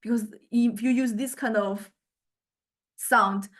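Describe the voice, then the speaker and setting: A woman lecturer giving an informal software demonstration, thinking aloud in a halting way as she goes. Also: fairly high pitch, fairly even intonation. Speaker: music lecturer.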